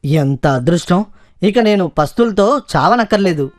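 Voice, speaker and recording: A man's voice: sits high, animated, measured pace, no echo. A man voicing a servile, scheming, overconfident fox in a children's cartoon, with a fawning manner.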